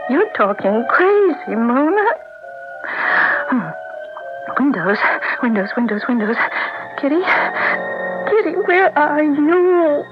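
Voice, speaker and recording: A high-voiced, fragile woman in an old radio drama, slowly coming apart in confinement. She speaks slowly and haltingly, repeating words as if talking to herself, over music on a muffled broadcast recording. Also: sing-song, exaggerated voice. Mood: panicked, unraveling. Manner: halting, repetitive, muttering to herself. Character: claustrophobic trapped woman, frantic, fragile, unraveling. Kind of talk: 1970s radio drama acting.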